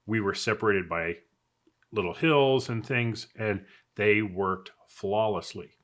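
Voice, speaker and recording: A man's voice: fairly low pitch, slow, fairly bright tone. An American man speaking conversationally, giving casual advice and opinions as a product reviewer talking to viewers. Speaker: product reviewer and off-road video host. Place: indoors in a house.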